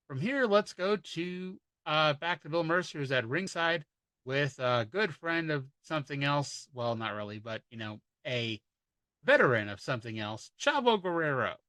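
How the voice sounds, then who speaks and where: A male podcast host recaps in a casual, wry way, swearing freely and drifting into asides. His voice is bright and forceful, and the recording is dry and close. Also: a little high, no echo. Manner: wry, profane, rambling asides.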